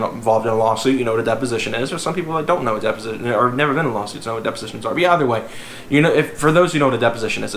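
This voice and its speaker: A young man with a slightly hoarse voice, talking casually to camera about his car, rambling and filler-heavy. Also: mid-range voice, a bit bright. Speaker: car vlogger. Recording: echoey room with faint background noise.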